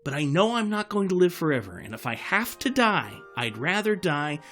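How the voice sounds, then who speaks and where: An opinionated old bird, played by a man holds forth in an audio drama. The voice sits fairly high and swings widely as he rants theatrically and answers his own questions. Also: big pitch swings, normal speed, fairly bright tone, soft background music. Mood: indignant ranting. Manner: ranting, theatrical, self-answering. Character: opinionated, talkative old male bird over 150.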